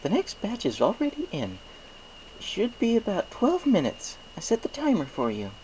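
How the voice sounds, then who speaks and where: A man's voice: sits high, wildly swooping pitch, moderate pace, darkish tone, a little hiss or hum, pitched above the performer's own voice, rough recording. A man voicing a sweet, busy, motherly female pony baker with an affectionate, homely manner in a storybook reading.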